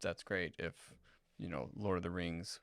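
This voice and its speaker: Low gravelly man, hesitant chat. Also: unhurried, no echo, clean studio sound. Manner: hesitant, stammering repeats. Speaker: podcast co-host. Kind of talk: casual chat.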